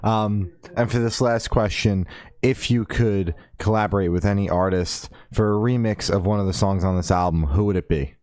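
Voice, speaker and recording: A man's voice: fairly low pitch, normal speed, a little mellow, dry recording, studio quality. A man hosting a podcast interview, laid-back and casually profane. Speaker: podcast host.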